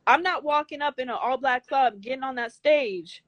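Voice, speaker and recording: Woman, older, high girlish voice, blunt, emphatic, profane, dry close sound. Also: moderate pace, no echo, dull audio. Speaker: guest. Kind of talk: candid interview talk.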